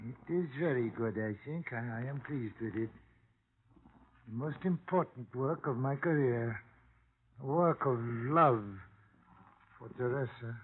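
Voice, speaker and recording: A man's voice: mid-range voice, natural voice. Anguished old father played by a man, tinny sound. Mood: quiet pride. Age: older. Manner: halting, formal, emotional phrasing. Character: anguished old immigrant father, poor painter, proud and wounded. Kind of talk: 1950s radio drama acting. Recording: tinny, noisy old radio recording.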